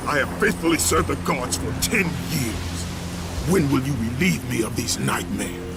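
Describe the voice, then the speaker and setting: A brooding Spartan warrior speaks with a harsh, gravelly edge. His tone is cold and bitter, his pitch moves a lot, and loud game music plays behind him. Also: expressive, moderate pace. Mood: anguished.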